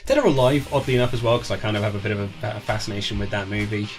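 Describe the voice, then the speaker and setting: A man's voice: on the low side, very fast, over loud music. A man being interviewed as an author, chatting casually and telling stories in a chatty way, often acting out conversations. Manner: chatty storyteller who acts out quoted conversations. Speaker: author being interviewed about his book. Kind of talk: casual chat, animated anecdotes.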